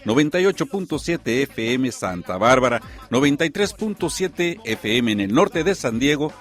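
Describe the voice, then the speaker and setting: Male community radio host, mid-pitched, earnest announcer delivery, "eh" fillers, faint music underneath. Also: very animated, moderate pace. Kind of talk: radio show hosting.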